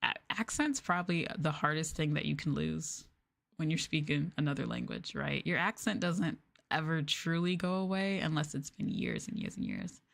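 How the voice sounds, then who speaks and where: A woman's voice: deep voice, moderate pace, vocal fry. A young woman with a Canadian accent chatting casually on a stream, rambling and giggly with lots of "like" and "um", often poking fun at herself. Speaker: VTuber streamer. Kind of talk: casual late-night chat stream.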